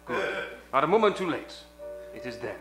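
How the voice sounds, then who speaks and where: A man's voice: medium pitch, very animated, moderate pace, natural voice. A man playing a scheming, pompous villain with a melodramatic delivery in a comic old-time radio play. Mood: wary, haughty. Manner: melodramatic, muttering then bursting out. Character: scheming, pompous male villain who runs a burlesque diner. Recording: echoey room, music behind.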